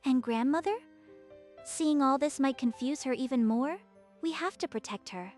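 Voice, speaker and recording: A woman playing a gentle, supportive young woman in a manga dub, speaking with earnest concern. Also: high-pitched, moderate pace, natural voice. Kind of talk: dramatic manga dub dialogue. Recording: music behind the voice.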